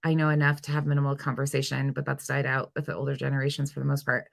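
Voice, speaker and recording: A woman's voice: rumbling low, level tone, very fast, gravelly. A woman chatting casually as a livestream host, informally hopping between replies to viewers. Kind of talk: casual live chat, reading and answering viewer comments.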